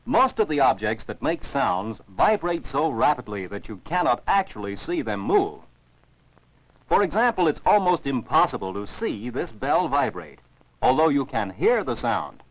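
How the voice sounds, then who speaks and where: Lively American male educational film narrator, vintage sound. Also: mid-range voice, moderate pace, no echo. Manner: didactic, carefully enunciated, posing questions. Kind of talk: scripted science narration for children. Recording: dull, dry 1949 film soundtrack.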